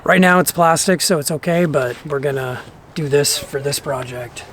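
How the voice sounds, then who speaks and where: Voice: medium pitch, moderate pace. A DIY vlog host giving casual, hands-on how-to narration, informally talking to themself while working. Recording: clearly noisy on-site recording, clicks.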